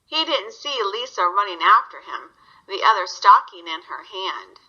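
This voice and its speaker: A woman's voice: medium pitch, very animated, unhurried, bright, forceful tone, husky, highs cut off. A woman reading a story aloud to young children, guiding them with simple questions.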